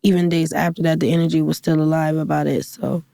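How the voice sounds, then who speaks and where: Raspy-voiced young woman, relaxed chat. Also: deep voice, fast talker, no room sound, studio quality. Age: 20s. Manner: relaxed, filler-heavy, laughs easily. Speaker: guest singer. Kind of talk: casual interview chat.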